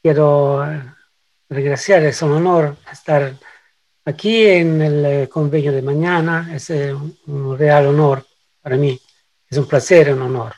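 High-pitched, slow-speaking Italian-accented male professor. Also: steady tone, a little mellow, poor audio. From Italy. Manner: halting, searching for words, repeats for emphasis. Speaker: interviewed professor and neurology researcher. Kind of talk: interview answers, explaining.

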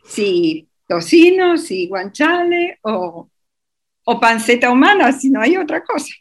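A woman's voice: a little high, sing-song, takes it slowly. A woman guest on a radio interview, explaining at length with many hesitations and restarts. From Europe.

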